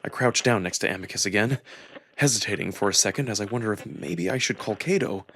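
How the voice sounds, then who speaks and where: A man's voice: fairly low pitch, fast talker, fairly bright tone. A man giving a dramatic first-person narration of a visual novel story. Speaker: narrator and voice performer.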